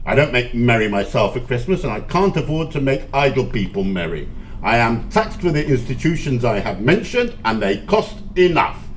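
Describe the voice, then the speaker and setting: A man's voice: mid-range voice, very animated, normal speed, slight background noise, lightly acted. A man acting a miserly, grumpy old businessman in an audio play, curt, scornful and dismissive.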